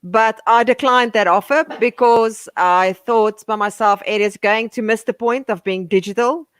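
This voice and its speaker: A woman's voice: a little high, unhurried, no echo. Dry-sounding woman guest explaining steadily. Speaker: guest, business owner. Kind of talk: casual interview chat.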